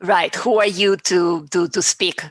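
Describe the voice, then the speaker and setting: A woman's voice: fairly low pitch, steady tone, a little slow, dry recording, studio quality. A woman speaking as a public speaking coach and guest in a conversational interview, earnest and emphatic, often repeating words for stress. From outside the US.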